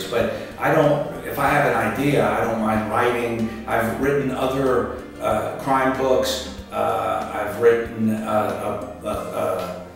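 A man in his sixties giving casual interview answers in a rambling, halting way, often repeating words. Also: medium pitch. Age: 60s. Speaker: author and martial arts instructor being interviewed. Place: indoor room by a curtained window. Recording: echoey room, distant mic, music underneath. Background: background music.

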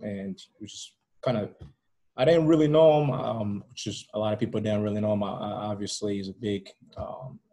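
A man's voice: fairly low pitch, steady tone, fairly quick, dark tone. A man from Rwanda talking casually as an interview guest on a video call, often repeating words and leaning on "you know". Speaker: podcast guest. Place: indoor room at home, video call.

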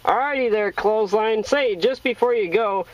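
A man's voice: high-pitched, normal speed. An American man chatting casually in CB radio jargon from a vehicle, with a folksy, jovial manner. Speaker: CB radio operator. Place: inside a parked or mobile vehicle. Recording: dull, lo-fi in-vehicle sound.

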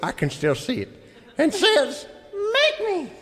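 A man's voice: extremely high pitch, huge pitch swings, exaggerated voice. An actor playing a folksy, grumbling old husband telling his story in a radio comedy. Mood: aggrieved. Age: older. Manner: rustic, drawn-out storytelling, grumbling. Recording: reverberant hall with faint noise.

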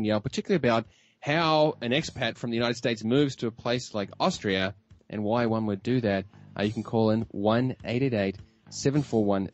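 A man hosting a talk radio show, interviewing a guest in a brisk, restarting way. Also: fairly low pitch, dry recording. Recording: dry, dull lo-fi radio audio.

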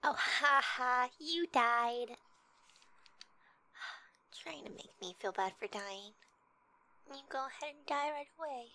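Woman acting as flirty gamer gf, slow bright higher voice, asmr roleplay, teasing n competitive. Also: exaggerated voice. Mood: competitive, taunting. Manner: teasing, casual, often dropping into whispers.

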